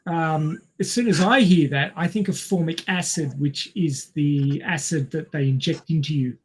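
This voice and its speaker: A man's voice: fairly high pitch, normal speed, breathy edge. A man on a podcast panel chatting casually, with a wry, joking manner. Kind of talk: casual chat.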